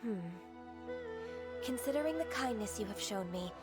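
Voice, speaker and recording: A woman voicing a shy, gentle, dutiful young half-divine woman in a game, soft-spoken and hesitant. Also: fairly high pitch, expressive, normal speed, close to an everyday voice. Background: game music.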